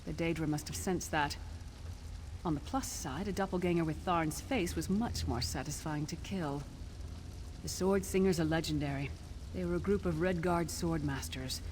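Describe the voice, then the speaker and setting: An actress playing an earnest, somber, loyal warrior companion in a fantasy video game, speaking in a serious, measured way. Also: female voice, low voice, natural voice. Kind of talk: video game voice acting, story dialogue. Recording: clear background game noise.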